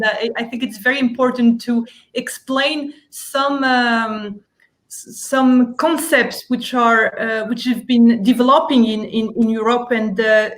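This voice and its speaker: A woman, speaking as a member of parliament on a panel, earnest and persuasive, often restarting her sentences. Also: a little high. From Romania. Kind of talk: panel discussion argument.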